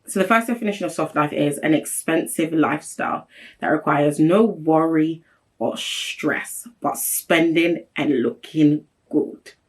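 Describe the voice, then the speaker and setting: A woman, hosting a solo vlog. She has a strong, deep voice and talks bluntly and emphatically, with a straight-talking manner. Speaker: host. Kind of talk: solo opinion vlog talk.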